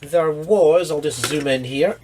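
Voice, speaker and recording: A man's voice: fairly high pitch, a little slow, noisy background. A man hosting a casual hands-on repair walkthrough, explaining each step in a matter-of-fact way. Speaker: host of an electronics repair channel.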